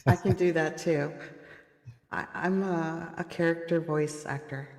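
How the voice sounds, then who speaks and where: A woman who grew up in Canada, with a husky voice, chatting casually and goofily as a guest on a livestream. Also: deep voice, steady tone, unhurried, a little mellow. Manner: goofy, giggly, self-mocking.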